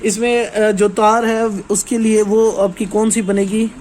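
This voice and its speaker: A man with a very high-pitched voice works as a technical trainer, walking through material in an informal, hands-on way. He explains step by step and keeps checking that listeners follow. There is some background noise in the recording. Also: flat delivery, a little slow, darkish tone.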